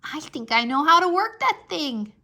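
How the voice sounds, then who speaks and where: A woman voicing a bossy, excitable little kindergarten girl in a children's storybook, with a cheeky, emphatic, childlike delivery. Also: high-pitched, moderate pace, gravelly, voice pushed up, full character voice. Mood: very excited. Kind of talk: children's storybook read-aloud.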